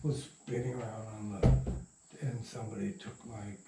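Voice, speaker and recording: A man's voice: on the low side, fairly even intonation, very noisy. Older man reminiscing, distant and echoey. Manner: rambling, halting, chuckling raconteur. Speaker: interview guest, radio producer and sound recordist. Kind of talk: casual anecdotal storytelling. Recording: roomy echo, distant from mic.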